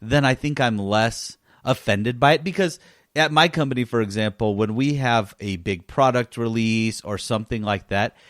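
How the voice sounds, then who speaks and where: A man with an average-pitched voice talks as a podcast co-host in casual, informal chat. He thinks aloud and leans on his points with emphasis. The sound is dry, with very little room to it. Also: normal speed, a bit bright, no room sound. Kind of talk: casual gaming news chat.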